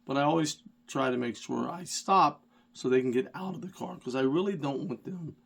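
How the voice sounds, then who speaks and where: Middle-aged rideshare driver, stuffy from a cold, casual rambling storyteller with a self-deprecating streak. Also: male voice, mid-range voice, moderate pace, creaky, breathy edge. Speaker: rideshare driver and channel host.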